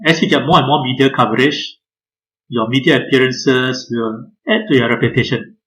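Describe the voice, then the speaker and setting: A man, giving a measured webinar presentation over a video call, pausing often on "uh". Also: mid-range voice, fairly bright tone, low-quality recording. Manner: measured, frequent "uh" hesitations. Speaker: guest speaker.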